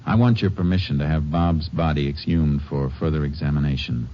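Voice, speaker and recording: An actor playing a courteous, steady Texas Ranger in a 1950s radio drama, with an American accent and a measured, understated delivery. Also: male voice, very deep voice. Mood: solemn, gentle. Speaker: lead actor and first-person narrator. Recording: dull vintage radio recording with music.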